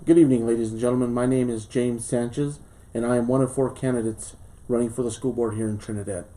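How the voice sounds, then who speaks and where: A man in his late thirties, a school board candidate from southern Colorado, reading a formal speech and answering questions earnestly, with pauses and fillers, in a small studio. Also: mid-range voice, fairly even intonation, mellow. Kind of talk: prepared campaign speech and interview answers.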